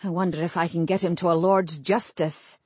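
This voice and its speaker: A woman's voice: fairly low pitch, fairly even intonation, a little fast, darkish tone, husky, no echo. A woman voicing an angry, scornful elven sorcerer in an audiobook, with a snarling, commanding manner. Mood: indignant. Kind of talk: audiobook character acting.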